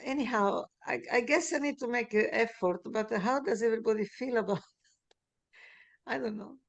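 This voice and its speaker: A woman's voice: a little high, normal speed, gravelly. A woman in a casual group video call, speaking hesitantly and trailing off. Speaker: prayer group member.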